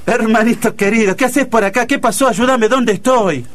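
A man's voice: extremely high pitch, moderate pace. An actor playing a melodramatic, self-pitying older father in a comic radio play, plaintive and overwrought, with a Buenos Aires accent. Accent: Buenos Aires Argentine.

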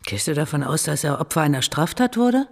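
An actress playing a composed senior police chief in a radio drama, calm and probing with quiet authority. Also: female voice, deep voice, fairly quick, gravelly, exaggerated voice. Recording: long reverberant room.

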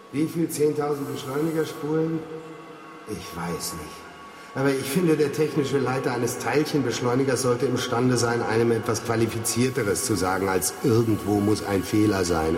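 An actor playing a grumbling, envious quantum physicist in a radio drama, griping in a sarcastic, wordy way full of rhetorical jabs. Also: male voice, medium pitch, moderate pace, natural voice. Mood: irritated, sarcastic. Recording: clearly noisy background.

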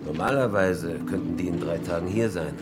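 Actor as deep-voiced, grave naval officer. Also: male voice, normal speed, heavy background noise, natural voice. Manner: sober, matter-of-fact. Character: grave, resigned middle-aged naval officer leading survivors. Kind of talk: radio drama acting.

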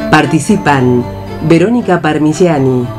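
Radio theatre narrator: woman, very low register, scripted scene-setting, prominent music underneath. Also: lively intonation, a little slow. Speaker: announcer and stage-direction narrator.

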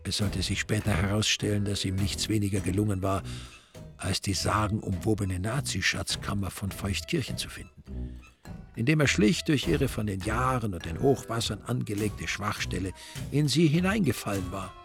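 A man's voice: on the low side, expressive, normal speed, over music. A man with an Austrian accent narrating a radio crime play in a dry, ironic storytelling manner.

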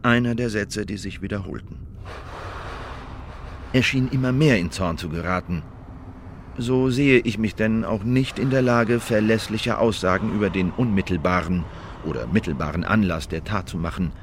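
An actor playing a male eyewitness who recounts a killing in a formal, measured, insistent manner in a scripted radio play. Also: lowish voice, slight background noise, natural voice. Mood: measured, recounting. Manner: formal, measured, precise testimony. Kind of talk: scripted first-person radio drama narration.

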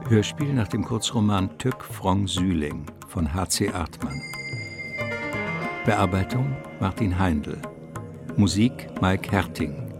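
A male narrator tells a radio drama in a deep, creaky voice. His delivery is deliberate and literary, building suspense over prominent music. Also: expressive.

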